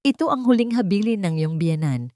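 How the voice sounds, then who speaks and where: A woman voicing a steady old lawyer. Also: medium pitch, no echo, clean studio sound, close to an everyday voice. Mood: calm formal. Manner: measured, formal and respectful.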